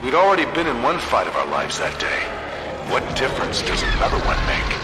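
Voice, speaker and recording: An actor playing a battle-weary rebel squadron veteran who recounts his war in grave, measured narration for a game. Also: medium pitch, wildly swooping pitch, very noisy. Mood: grim, reflective. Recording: echoing hall reverb, loud music and battle sounds.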